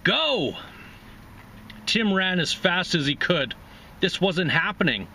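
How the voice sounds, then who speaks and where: A man's voice: high voice, animated, unhurried, bright, forceful tone, a little hiss or hum. A man hosting a reading series, narrating a literary short story aloud.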